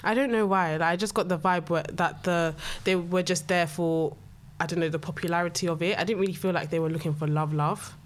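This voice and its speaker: A woman's voice: lowish voice, fairly even intonation, a little fast. A young woman with a British accent, a podcast guest who laughs often and sometimes trips over her words. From Nigeria, raised in Swaziland and the UK. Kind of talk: casual chat.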